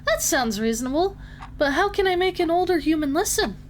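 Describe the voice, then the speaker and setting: A woman doing a small young gnome heroine, very squeaky-high, earnest, fantasy audio story, faint noise behind. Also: lively intonation, a little fast. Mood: grateful, earnest.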